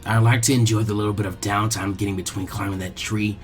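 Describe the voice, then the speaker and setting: Be a man voicing a lazy teenage ninja strategist in an anime tale, low and dismissive, everything a bother, music faint behind. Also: little pitch movement, fast talker, close to an everyday voice. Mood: bored, dismissive.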